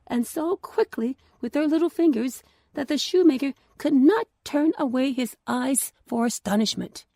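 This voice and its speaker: A woman reading a scripted children's story as host, in an expressive, deliberate way that drops into hushed tones. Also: fairly high pitch, vocal fry, husky, no echo, studio quality.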